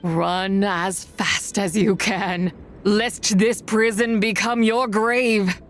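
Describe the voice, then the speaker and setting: Game casting: actress for cursed female immortal, imperious, formal, slow delivery over music. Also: mid-range voice, fairly even intonation, lightly acted. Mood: ominous threat. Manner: solemn, imperious, archaic formal phrasing. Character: cursed, wrathful ancient immortal woman challenging mortals. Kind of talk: dramatic game voice acting.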